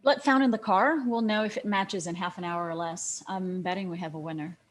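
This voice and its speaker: A woman playing a brisk, confident detective in a screenplay table read, speaking to the point. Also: on the low side, close to an everyday voice. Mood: businesslike.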